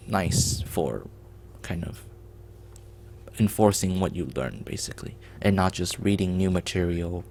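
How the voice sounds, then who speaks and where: A man's voice: sits low, vocal fry, darkish tone, slight background noise. A man hosting a programming livestream, explaining in a rambling casual way with many ums and restarts.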